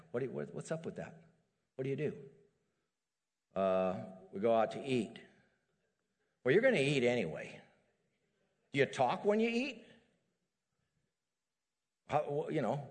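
A middle-aged man preaching a sermon as a pastor, animated and building to emphatic peaks. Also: fairly high pitch. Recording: long hall reverb.

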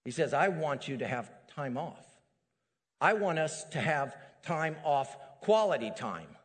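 A middle-aged man preaching a sermon as a pastor, animated and building to emphatic peaks. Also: on the high side, a little slow. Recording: long hall reverb.

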